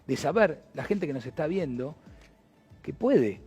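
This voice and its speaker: A man's voice: on the high side, animated, unhurried, a little mellow, husky, faint music behind. A man with an Argentine Spanish accent hosting an interview, talking in a hesitant way with many restarts. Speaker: host. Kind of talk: conversational interview.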